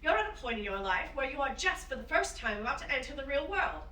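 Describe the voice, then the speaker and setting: A woman's voice: high voice, very animated, fast, pushed and bright, full character voice. A woman playing a spirited, encouraging woman aviator in a staged play reading, with an earnest, emphatic manner. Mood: firm, knowing. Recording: roomy, distant microphone.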